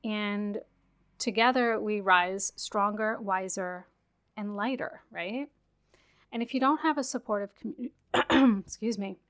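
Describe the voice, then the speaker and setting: A woman's voice: mid-range voice, slow, fairly bright tone. Woman hosting a wellness podcast, chatty and encouraging, lots of "like", voice a bit worn out. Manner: chatty, many "like" fillers, encouraging. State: losing her voice after a virus. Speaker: podcast host. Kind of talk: casual solo podcast talk.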